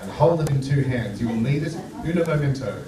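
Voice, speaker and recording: A man's voice: mid-range voice, expressive, fairly quick, crowd noise. A man announcing and narrating a live radio serial to a theatre audience. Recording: echoey theatre, distant mic, audience noise.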